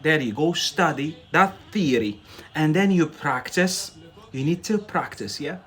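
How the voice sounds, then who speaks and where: Young man, non-native English, casual live-stream English teacher, slightly high voice, laughs a lot, keeps asking "yeah?". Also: lively intonation, measured pace, fairly bright tone, breathy edge. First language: not English. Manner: chatty, laughs often, keeps adding "yeah?". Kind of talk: casual live-stream chat answering viewer comments.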